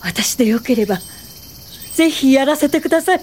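A woman's voice: sits high, expressive, darkish tone, slight background noise. An actress in a radio drama playing an earnest, principled woman educator and social worker, speaking politely and thoughtfully. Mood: resolved. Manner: polite, thoughtful, measured delivery. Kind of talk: radio drama dialogue and reflective first-person narration.

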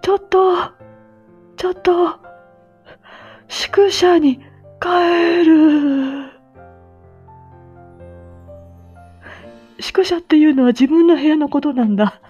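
A woman plays a drowsy, easygoing old grandpa with a high, thin-edged bright voice. He rambles on in a chatty old-man way. The sound is dull and lo-fi, with music behind. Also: takes it slowly, long pauses. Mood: plaintive. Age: older. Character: sleepy, easygoing old grandpa, just woken up.

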